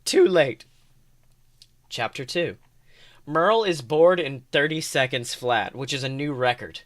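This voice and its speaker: A man's voice: medium pitch, expressive, moderate pace, a bit bright. A man hosting a podcast, narrating fan fiction aloud with expression. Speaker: podcast host.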